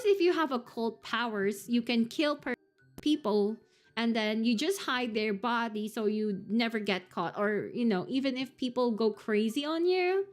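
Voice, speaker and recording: A young woman streaming a visual novel, reading it aloud and chatting casually with frequent fillers. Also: a little high. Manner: rambling, giggly, lots of fillers.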